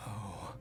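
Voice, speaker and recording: A man playing a weary, dying husband and father in a video game drama, tender and resigned, with a breathy, halting delivery. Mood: longing, failing. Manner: slow, halting, with long pauses.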